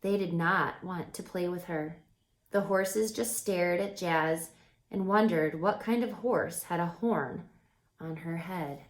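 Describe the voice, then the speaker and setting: A woman reading a children's picture book aloud as a teacher, expressively, pausing to put questions to young listeners. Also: lowish voice, reverberant, rough recording.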